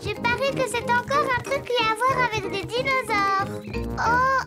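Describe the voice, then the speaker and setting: A woman's voice: very high-pitched, moderate pace. A woman voicing a cheerful, bossy little girl pig in a French cartoon dub, childlike and emphatic. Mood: curious. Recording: music behind the voice.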